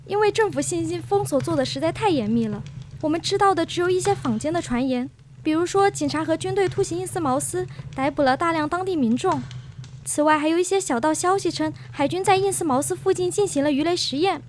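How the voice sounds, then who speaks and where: A woman's voice: very high-pitched, fairly quick. A woman playing an earnest young student reporter in a radio drama, courteous, careful and apologetic. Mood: polite, earnest. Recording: clear background noise and ambience.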